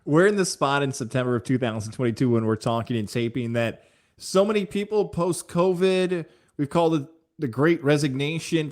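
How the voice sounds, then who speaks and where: A man's voice: mid-range voice, darkish tone. An American man interviewing a guest conversationally as host, with talkative, long lead-ins to his questions.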